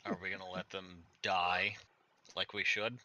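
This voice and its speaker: A man doing a gruff, flat-voiced companion. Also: low-pitched, unhurried, dry recording, voice pushed down, exaggerated voice. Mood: grudging.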